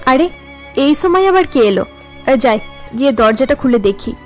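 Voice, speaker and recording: High-voiced, caring daughter-in-law a woman acting. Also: expressive, moderate pace, faint music behind. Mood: surprised, concerned. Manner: caring, solicitous. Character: kind, concerned, respectful daughter-in-law. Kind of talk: cartoon family melodrama acting.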